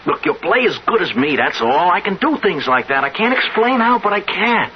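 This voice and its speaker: A man's voice: high-pitched, very animated, normal speed. An actor playing an earnest, insistent young pool shark in his twenties, talking urgent street talk in old-time radio drama style. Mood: urgent, intense. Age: 20s. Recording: dull, hissy old radio recording.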